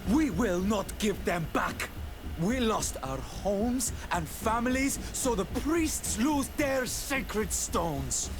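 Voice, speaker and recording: A man's voice: sits high, very animated, takes it slowly, over music, exaggerated voice. A man playing a defiant, bitter young exile in a video game, indignant and emphatic. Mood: defiant, aggrieved.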